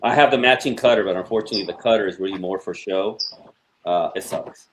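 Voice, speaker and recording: A man's voice: sits low, fast, crowd noise, rough recording. A man chatting casually as a guest on a livestream.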